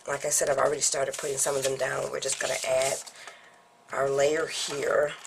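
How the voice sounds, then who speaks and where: Low-voiced congested woman teaching crafts. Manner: chatty, explains each step while working. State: congested from a cold. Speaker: papercraft tutorial host. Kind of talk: step-by-step craft demonstration.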